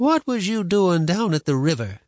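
A man's voice: sits high, sing-song, normal speed, a little mellow, dry recording, clean studio sound, voice pushed up. A man voicing a cranky, stubborn old frontier grandmother who grumbles and scolds in folksy rural speech for a storybook. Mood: stern. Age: older.

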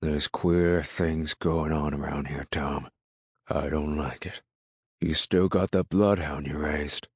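A man voicing a gruff, ill-tempered rural farmer in an audiobook, grumbling in folksy, ungrammatical country speech. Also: a bass voice, steady tone, slow, dry recording, studio quality, exaggerated voice. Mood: suspicious. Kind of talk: audiobook character dialogue.

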